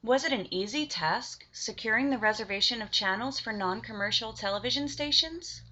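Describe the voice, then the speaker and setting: A woman's voice: fairly high pitch, normal speed, bright, forceful tone, dull audio. A woman acting as interviewer, reading formal, admiring questions in a scripted interview.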